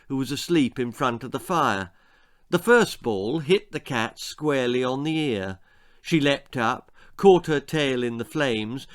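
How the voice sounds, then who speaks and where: A man reading his own children's story as an expressive, theatrical narrator with deliberate phrasing. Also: mid-range voice.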